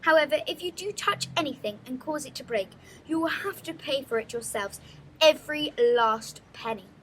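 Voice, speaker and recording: A woman's voice: high voice, lively intonation, moderate pace, a little hiss or hum, rough recording. A woman voicing a stern, menacing, snobbish headmistress in a storybook, pompous and imperious as she gives orders. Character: stern, menacing, snobbish middle-aged headmistress of a posh school.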